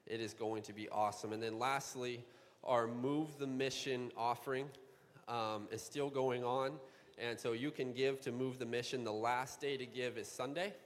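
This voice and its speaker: A youth pastor giving lively, joking announcements from the church platform. His mid-pitched man's voice carries through a large sanctuary with a long ringing reverb. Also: normal speed. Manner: upbeat, joking, with bursts of excitement. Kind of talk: church announcements and humorous introduction.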